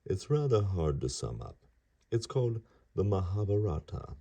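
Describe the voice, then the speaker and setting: A man reading as a gentle, wise old poet in a storybook, his voice low and gravelly. He speaks slowly and calmly, with a husky, dark edge. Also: exaggerated voice.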